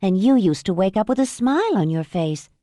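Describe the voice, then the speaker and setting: A woman's voice: mid-range voice, big pitch swings, brisk, warm tone, no echo, clean studio sound, lightly acted. A woman narrator voicing a wry, teasing middle-aged mother.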